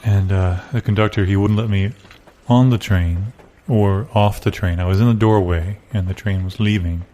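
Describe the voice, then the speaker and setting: A man playing a rambling musician in improvised comedy, reminiscing in a deadpan, hesitant way. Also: on the low side, normal speed, a little hiss or hum, natural voice. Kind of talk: improvised comic dialogue and storytelling.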